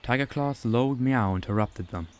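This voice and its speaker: A man giving steady, scripted storybook narration for a fan-made audiobook. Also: medium pitch, normal speed, clean tone.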